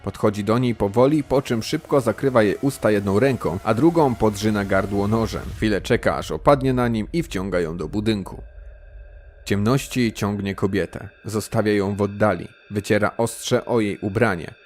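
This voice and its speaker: Male narrator, lowish pitch, scripted crime audiobook storytelling, music behind. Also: normal speed.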